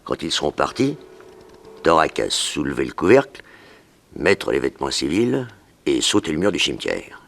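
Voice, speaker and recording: A man's voice: a bass voice, very animated, moderate pace, creaky, a bit bright, heavily acted. A French man acting in a 1960s radio drama as a cocky, coarse escaped criminal with a sneering, familiar manner. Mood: recounting, wry. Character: gloating male convicted criminal, cocky and coarse, freshly escaped.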